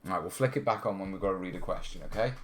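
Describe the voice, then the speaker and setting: A man's voice: low voice, rapid-fire, a little hiss or hum. A man from North Wales hosting a live hobby stream, chatting casually and answering questions in an informal, rambling way. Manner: informal, rambling, often checking in with "yeah" and "you know". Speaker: host of a terrain-modelling hobby stream. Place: studio.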